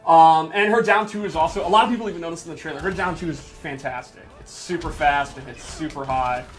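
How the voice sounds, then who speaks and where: A man's voice: a little high, fast talker, music in the background. A man giving informal, emphatic live commentary as he demonstrates a video game on a stream. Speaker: game developer presenter on a livestream.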